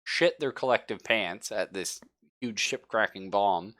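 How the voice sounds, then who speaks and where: A man's voice: lowish voice, unhurried, bright, forceful tone, dry recording, clean studio sound. A man casually narrating a tabletop sci-fi horror game as game master, informal and jokey.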